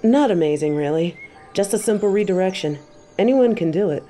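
Woman as confident tiger warrior woman, stern, wry, matter-of-fact, mid-pitched, audio drama, clear ambient noise. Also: lively intonation, brisk, mellow, natural voice. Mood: teasing, approving. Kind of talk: scripted audio roleplay. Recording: clear ambient sound effects behind voice.

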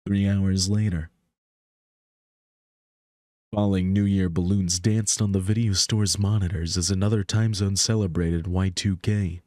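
A man's voice: low-pitched, fairly even intonation, measured pace, warm tone, no echo, studio quality. A man reading scripted story narration for a visual novel. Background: background music.

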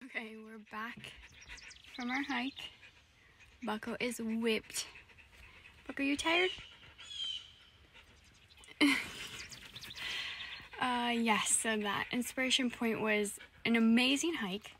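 A woman's voice: a little high, slow, long pauses. A young woman in her twenties, casually vlogging to her phone about a hike with her dog, chatty and upbeat. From Minnesota. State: sweaty after a climb. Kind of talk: casual vlog chat. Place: in a car and outdoors on a wooded bluff. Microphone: phone camera held at arm's length. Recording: phone recording with clear background noise.

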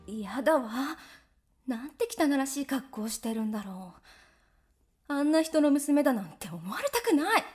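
A woman's voice: sits high, sing-song, moderate pace. An actress playing a vain, cruel and haughty young girl in a radio drama. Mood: disgusted.

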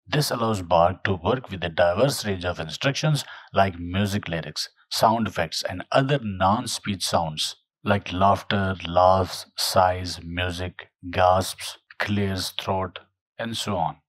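Low, husky male narrator. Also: slow, fairly bright tone, no room sound. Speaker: tutorial narrator. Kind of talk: scripted voice-over narration.

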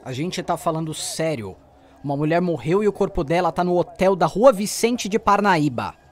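Man acting shaken, lively-voiced young male journalist. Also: fairly high pitch, moderate pace, lightly acted. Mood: tense. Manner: urgent, persuasive. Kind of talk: audio drama acting.

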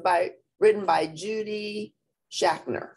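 A woman reading a picture book aloud to children with expressive narration. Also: on the low side, takes it slowly, gravelly, slight background noise. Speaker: storybook reader.

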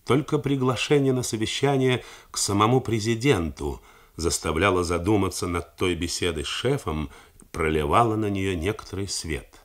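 A man narrating a novel in a measured, theatrical reading style for a 1980s radio broadcast. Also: low voice. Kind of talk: scripted literary narration.

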